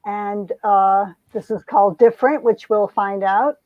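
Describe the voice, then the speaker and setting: A woman's voice: mid-range voice, fairly even intonation, a little slow. A woman performer and director giving an informal introduction in dull, roomy lo-fi sound. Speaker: director and cast member.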